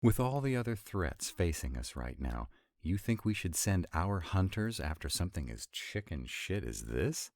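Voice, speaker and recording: A man's voice: sits low, very animated, normal speed, few pauses, a little mellow, dry recording, clean studio sound. A man playing an irritable, sarcastic vampire with a rebellious streak in an intimate, conversational roleplay audio drama. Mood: irritated, sarcastic. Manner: sarcastic, conversational, drawling asides. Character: sarcastic male vampire, irritable, wry, rebellious council member. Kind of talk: intimate one-sided roleplay audio acting.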